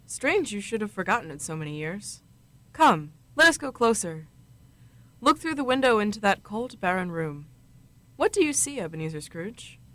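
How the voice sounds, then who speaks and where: Radio drama spirit played by young woman: commanding, solemn, formal and probing, mid-range female pitch. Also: very animated, moderate pace, a bit bright. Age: young adult. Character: solemn, commanding Ghost of Christmas Past. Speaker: student actor.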